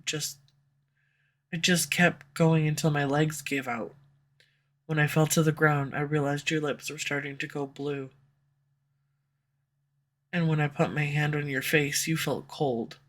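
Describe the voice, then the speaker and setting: A woman voice actor playing a proud, guarded female villain who swears and turns defensive when pushed, recorded on a USB condenser mic. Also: low voice. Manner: curses often, defensive and flustered when pressed. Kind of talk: audio roleplay acting. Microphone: USB condenser microphone.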